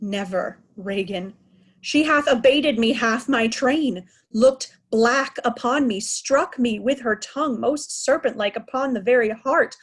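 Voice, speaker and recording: A woman's voice: a little high, slightly rough. A woman playing a proud aging king, raging at ungrateful daughters in a declamatory Shakespearean style. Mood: cursing fury. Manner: declamatory, deliberate, anguished. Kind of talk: Shakespearean reader's theatre acting.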